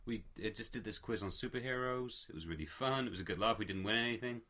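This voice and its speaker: A man's voice: on the low side, quick pace, pushed and bright. A man chatting casually on a podcast, rambling a little with fillers. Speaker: podcast co-host. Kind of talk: casual chat.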